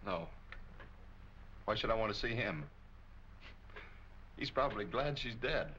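An actor playing an agitated, stammering young murder suspect in a 1940s melodrama film. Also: male voice, lowish voice, animated, measured pace, bright, forceful tone. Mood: defensive. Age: young adult. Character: rattled, defensive young male murder suspect. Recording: muffled, hissy 1940 film soundtrack.

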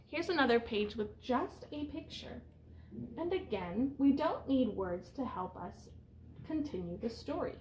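Slow, higher-pitched woman reading to children. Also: lively intonation, darkish tone, a little hiss or hum, rough recording. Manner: instructive, simple phrasing aimed at young children. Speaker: teacher reading aloud to children. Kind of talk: picture-book read-aloud with questions to young listeners. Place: small room indoors.

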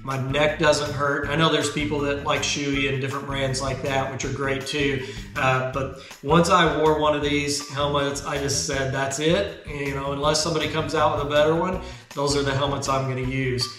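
A man in his fifties hosting a motorcycle vlog channel, explaining a helmet setup in a relaxed, chatty, self-deprecating way at a desk indoors. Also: medium pitch, moderate pace, reverberant, faint music behind. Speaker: motovlog channel host. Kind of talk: casual gear tutorial. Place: indoor garage studio.

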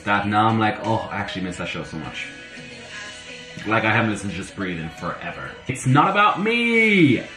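A slightly hoarse young man chatting excitably to camera in his bedroom, talking to himself as he reacts to music. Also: lowish voice, normal speed. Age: young adult. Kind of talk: casual reaction chat. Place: bedroom at home, indoors. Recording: roomy bedroom with faint music. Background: soundtrack music playing faintly.

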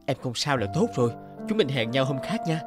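A man playing a gentle, apologetic man in love in a cartoon drama, tender and earnest. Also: mid-range voice, very animated, fairly quick, music in the background, close to an everyday voice. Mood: concerned.

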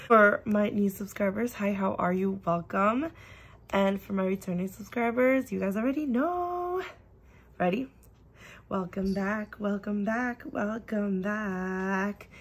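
Bubbly woman, a little hoarse. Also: mid-range voice, slow. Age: 30s. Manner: bubbly, gushing, repeats herself. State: slightly hoarse from a cough. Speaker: budgeting channel host. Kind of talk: casual vlog chat. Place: at home, at a table.

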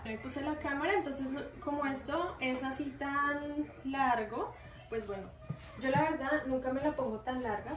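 A young woman with a Colombian Spanish accent chats casually as a home vlogger, affectionate and fond of diminutives. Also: on the high side. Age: young adult. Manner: affectionate, uses many diminutives. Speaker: vlogger and mother. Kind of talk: casual home vlog chat. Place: indoors in an apartment.

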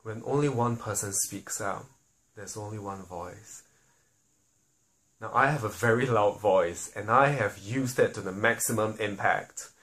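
A man from Singapore, making an earnest spoken appeal to the public, often slowing down and dropping quiet on key lines. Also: fairly low pitch.

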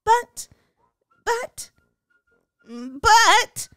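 Shrill, excitable little girl. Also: drawn-out words, no room sound, clean studio sound, faint music behind, full character voice. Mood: whiny protest. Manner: exclaiming, whiny protests.

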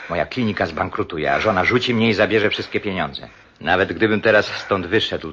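An actor as a sharp, forceful, calculating doctor. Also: male voice, low-pitched. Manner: sharp, commanding, cynically reasoning. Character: self-serving, calculating doctor, the wife's lover. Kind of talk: Polish radio play acting. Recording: muffled radio-play recording with background noise.

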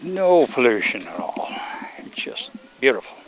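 A man's voice: medium pitch, a little slow, rough recording. An older man from Sweden, strained as he loses his voice, chatting casually to viewers while walking a rural road and filming on a handheld camera. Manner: relaxed, rambling, talks to viewers while walking. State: losing his voice. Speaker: vlogger. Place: outdoors on a rural road among rice fields. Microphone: handheld camera on a selfie stick.

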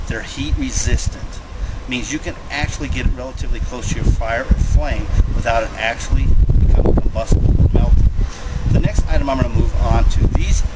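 Man giving practical advice outdoors by traffic. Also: mid-range voice. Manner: plain, matter-of-fact, with restarts and self-corrections. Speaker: host showing survival gear. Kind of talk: unscripted how-to talk to camera.

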